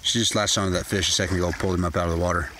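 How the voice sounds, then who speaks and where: A man's voice: deep voice, steady tone, racing. A man in his thirties talking casually to his phone camera outdoors by a creek, blunt and profane, rambling as he goes. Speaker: vlogger. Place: outdoors by a creek on a sunny hillside. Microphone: phone held at arm's length. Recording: phone audio with loud outdoor noise.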